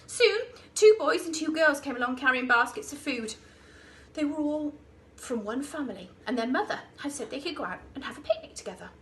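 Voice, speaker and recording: A woman reading a children's story like an infant school teacher, expressive and with dramatic emphasis. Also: sits high, fairly quick, slight background noise.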